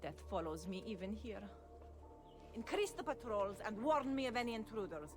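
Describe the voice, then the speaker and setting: A woman's voice: mid-range voice, big pitch swings, moderate pace, close to an everyday voice. A woman voicing a haughty, paranoid noblewoman in a video game, imperious and theatrical. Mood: frantic, imperious. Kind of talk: video game cutscene acting. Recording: music behind the voice.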